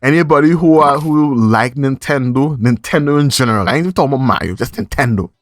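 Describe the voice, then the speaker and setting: A man's voice: medium pitch, fast talker, dry recording. A Trinidadian man hosts a podcast in a mid-range voice. He chats casually through a movie review in slangy, looping phrases. The sound is dry, with hardly any room in it. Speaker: podcast host.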